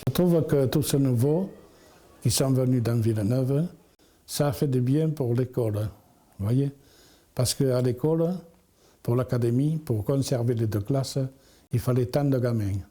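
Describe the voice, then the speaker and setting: Husky, dark-voiced man. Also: mid-range voice, measured pace. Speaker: interviewed villager.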